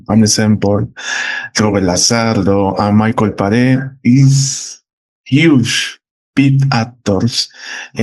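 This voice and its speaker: He is a man hosting an interview with a mid-range voice. His speech is markedly slow and hesitant, with words repeated as he works his way toward each question. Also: mid-range voice, darkish tone, rough recording. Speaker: interview host.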